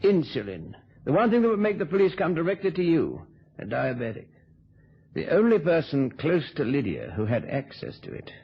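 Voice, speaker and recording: A shrewd, sardonic male sleuth with a very husky voice and animated intonation. He accuses coolly in old radio drama style, on a dull recording. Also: a little high, moderate pace, heavily acted. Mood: accusing. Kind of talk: vintage radio drama acting.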